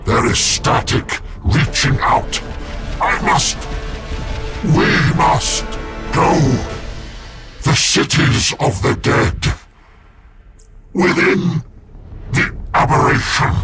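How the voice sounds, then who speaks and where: Slow, haunted psychic warrior. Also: male voice, a little high, animated, heavily acted. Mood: urgent trance. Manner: slow, halting, cryptic, portentous. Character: haunted, visionary psychic space marine, tormented and cryptic. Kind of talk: dramatic game voice acting. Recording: loud music behind.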